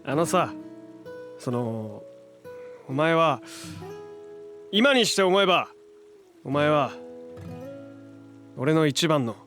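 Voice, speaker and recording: An actor playing a brash, warm-hearted young hero in a superhero audio drama, talking casually, cocky but sincere. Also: male voice, fairly high pitch, big pitch swings, slow pace, pauses often, faint music behind, full character voice.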